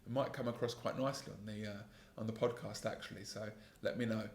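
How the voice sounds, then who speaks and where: Gravelly male podcaster in his 30s, mid pitch, calm and earnest, reflective solo monologue, echoey home setup. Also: quick pace. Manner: calm, earnest, self-critical, with frequent restarts. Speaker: podcast host and sales trainer.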